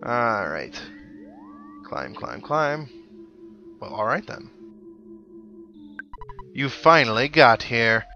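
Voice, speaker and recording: A man's voice: mid-range voice, very animated, extremely slow, pauses often, pushed and bright, muffled recording. A man giving casual let's play commentary, musing aloud and reading out on-screen text as he goes. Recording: game music behind the voice. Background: video game music.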